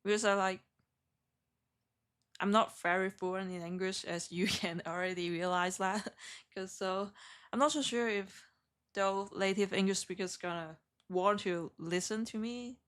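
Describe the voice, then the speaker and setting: A woman from Hong Kong with a heavy Hong Kong accent, chatting with viewers on a live trading stream in halting, self-correcting English. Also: mid-range voice, a bit bright. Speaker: day trader streaming live.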